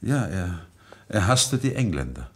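Brief: a deferential confidant in a radio mystery, low-pitched and rough, choosing words with hesitant care. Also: male voice, sing-song, a little slow, a bit bright, exaggerated voice. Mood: hesitant confiding. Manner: hesitant, careful phrasing. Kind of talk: old German radio crime drama acting.

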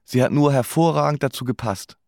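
A man's voice: mid-range voice, fairly quick, very noisy. A man narrating his own story in a wry, resigned, matter-of-fact way. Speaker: narrator of an autobiographical radio play. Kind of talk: scripted first-person narration. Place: dry studio.

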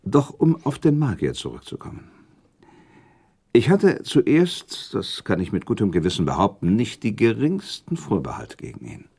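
An actor playing a thoughtful, self-doubting prince in a radio drama, speaking in courteous, elaborate formal phrases. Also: male voice, fairly low pitch, wildly swooping pitch, normal speed, vocal fry, darkish tone, husky, exaggerated voice. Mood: reflective, emphatic. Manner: formal, elaborate, with inserted asides. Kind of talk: German radio drama acting.

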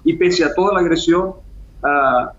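A man's voice: a little high, unhurried, reverberant, muffled recording, slight background noise. A Venezuelan man with a Venezuelan accent, an international analyst speaking emphatically as a guest on a remote radio interview. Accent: Venezuelan Spanish.

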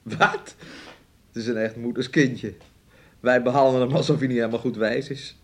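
An actor playing a domineering, resentful young fiancé in a radio play, with a taunting, needling way of talking. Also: male voice, medium pitch, fairly even intonation, moderate pace, close to an everyday voice. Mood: mocking. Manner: speaks of himself and her in third person, taunting.

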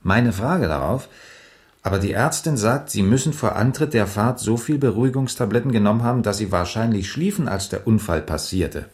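A very low-pitched male investigator in a radio crime drama. He reports dryly and colloquially, methodical and sober in his delivery. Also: a little mellow. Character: male police investigator, matter-of-fact and methodical.

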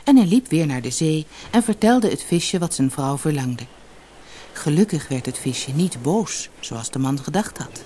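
A woman reading a scripted children's fairy tale as an expressive, measured narrator. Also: low-pitched, a little hiss or hum. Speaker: storyteller, narrator.

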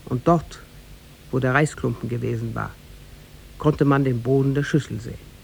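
A man narrating a tale in a scripted, measured and formal 1950s radio drama style. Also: medium pitch, gravelly. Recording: noisy 1950s radio recording.

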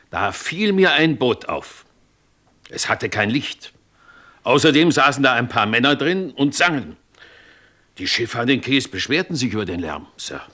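An actor playing a dutiful, respectful river police constable, giving a measured, deliberate report in a German radio crime drama. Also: male voice, mid-range voice, pushed and bright, exaggerated voice. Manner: deferential, measured witness report.

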